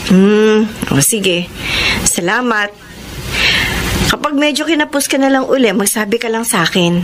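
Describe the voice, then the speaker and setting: A Filipino actress playing a friendly, inquisitive neighbourhood storekeeper in a radio drama. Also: female voice, fairly high pitch, expressive, measured pace. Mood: friendly, curious. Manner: casual, inquisitive. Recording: noisy radio drama with background ambience.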